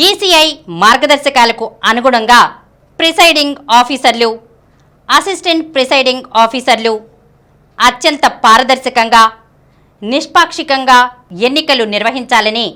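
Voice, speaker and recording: A woman reading a news bulletin in a steady, formal newsreader manner. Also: high-pitched. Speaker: news reader.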